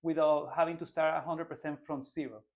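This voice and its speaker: A man's voice: fairly high pitch, fairly even intonation, fairly quick. A man in his twenties from Venezuela, a native Spanish speaker with a Venezuelan accent, answering interview questions earnestly with frequent restarts as a political candidate.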